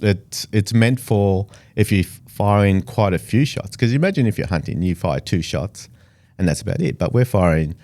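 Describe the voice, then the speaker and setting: A man's voice: fairly low pitch, expressive, normal speed, warm tone. A middle-aged Australian man, first language Italian, talking casually as an interview guest with many fillers and restarts. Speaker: engineer guest.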